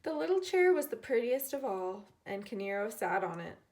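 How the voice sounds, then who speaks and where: A woman teacher reading a children's storybook aloud in an expressive way, acting out the characters. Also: a little high, normal speed, slight background noise. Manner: expressive, dramatizing characters' lines.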